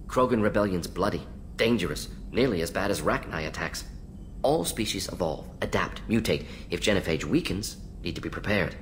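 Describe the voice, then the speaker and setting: A restless male alien scientist who talks in clipped, clipped sentence fragments, skipping articles as if there's no time to waste. The voice sits low but swings widely in pitch, lively and jumpy. A faint hiss of noise sits behind it in this game dialogue. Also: moderate pace. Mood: clipped, brisk.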